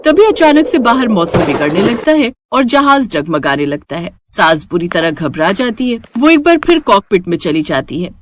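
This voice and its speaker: A woman narrating a scripted animated moral story. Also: mid-range voice, normal speed, few pauses, background noise.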